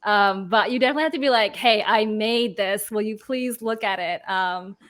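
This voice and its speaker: A woman's voice: medium pitch, moderate pace, a bit bright. A woman moderating a panel in casual, informal chat, often acting out imagined remarks.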